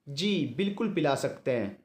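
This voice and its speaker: Guy reading as a hospital nurse lady, polite, matter-of-fact, highish voice. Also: expressive, moderate pace, a little mellow, roomy sound, lightly acted. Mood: instructing. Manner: courteous, instructing.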